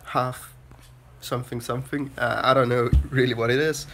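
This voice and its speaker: A man's voice: medium pitch, flat delivery, fairly bright tone. Stuffy-nosed Danish-accented man musing to camera. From Denmark. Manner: halting, many restarts and filler sounds. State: stuffy nose from a cold. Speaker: vlogger. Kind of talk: rambling personal monologue to camera. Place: small room indoors. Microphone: phone held at arm's length.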